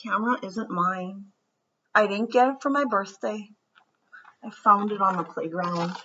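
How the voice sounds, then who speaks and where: A woman's voice: mid-range voice, a little slow, bright, forceful tone, breathy edge. A woman reading as an anxious little girl. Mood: timid. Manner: small, hesitant voice. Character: anxious little girl who told a lie. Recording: dull lo-fi recording.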